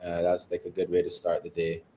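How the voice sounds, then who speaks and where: A man from Barbados, a guest co-founder, giving measured, articulate interview answers from home. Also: low-pitched, completely flat delivery, mellow, a little hiss or hum. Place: home overlooking the ocean.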